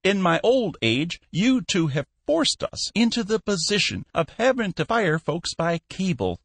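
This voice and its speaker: A man voicing a cantankerous, bossy old shipping tycoon in an audiobook, shrill, scolding and full of bluster. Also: sing-song, a little slow, fairly bright tone, husky, no room sound, heavily acted. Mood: irate, sarcastic.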